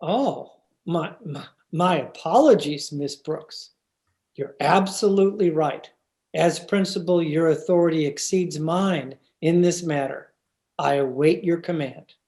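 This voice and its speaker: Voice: mid-range voice, a little slow, dark tone, natural voice. Actor doing a meek proper man teacher, polite n hesitant, mid voice, old time radio comedy. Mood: meek, apologetic. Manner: hesitant, formal, deferential. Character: mild, timid, proper male biology teacher. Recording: remote video-call recording.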